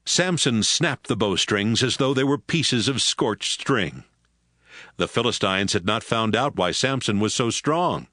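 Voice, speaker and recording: A man narrating scripture in a steady, even storytelling manner. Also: lowish voice, a little slow, dry recording, clean studio sound.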